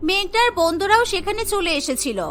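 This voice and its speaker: Cartoon narrator: woman, high and bright, clear even telling. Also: brisk. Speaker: narrator and lead voice actor.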